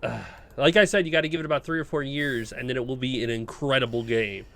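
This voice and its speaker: A man's voice: mid-range voice, fast talker, a bit bright. A man co-hosting a casual gaming podcast, swearing freely as he chats. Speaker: podcast co-host.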